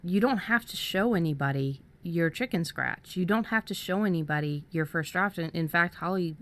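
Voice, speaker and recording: A woman with a fairly deep voice talks casually as a podcast co-host. She is earnest and encouraging, and her sentences come haltingly, with repeated words and small stumbles. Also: fairly bright tone. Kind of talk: casual conversational writing advice.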